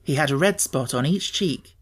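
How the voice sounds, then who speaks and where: A man's voice: fairly high pitch, normal speed, breathy edge. Male narrator for a children's classic audiobook, scripted storybook delivery.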